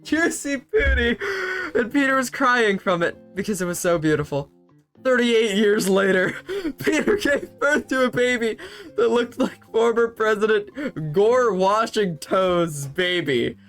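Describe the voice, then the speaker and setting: Young male comedy host, slightly higher voice, sarcastic deadpan reads over faint music. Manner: sarcastic, breaks into laughter while reading. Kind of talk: comedic reading of fanfiction.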